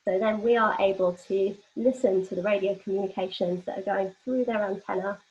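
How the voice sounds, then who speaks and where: A dull, lo-fi online call carries the voice of a young woman at a medium pitch. She presents a workshop informally, explaining as she goes. Her delivery is hesitant, with pauses in the middle of phrases. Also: fairly even intonation, a little mellow. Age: young adult. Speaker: workshop leader. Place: online video call from home.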